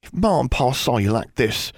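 A prim teenage country girl played by a male narrator fusses. The pitch is pushed well up and swoops about as she scolds, in a dry audiobook recording. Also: sing-song, moderate pace, dry recording. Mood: scolding, alarmed. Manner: shocked, fussing, folksy.

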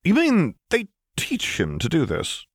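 A man's voice: a little high, wildly swooping pitch, measured pace, slightly rough, no echo, pitched above the performer's own voice, exaggerated voice. A man voicing a dry, exasperated officer in a story, sarcastic and straight-faced. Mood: puzzled.

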